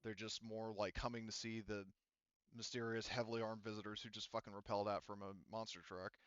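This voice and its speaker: A man's voice: on the low side, level tone, a little fast, clean tone, no echo, highs cut off. A man running a tabletop game as game master, talking in a rambling, casual way with lots of fillers and the odd swear word. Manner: rambling, filler-heavy, profane.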